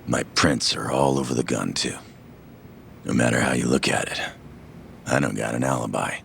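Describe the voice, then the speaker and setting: An actor playing a troubled, wary low-ranking yakuza in a video game, gruff and guarded. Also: male voice, steady tone, normal speed, fairly bright tone, a little hiss or hum, full character voice. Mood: glum, resigned. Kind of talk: dramatic game voice acting.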